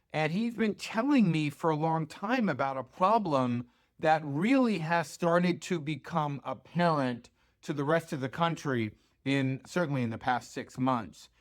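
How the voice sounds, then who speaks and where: Higher-pitched American man pitching investments. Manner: conversational, emphatic, rhetorical questions and check-ins. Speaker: financial newsletter host. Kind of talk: informal investment pitch to camera.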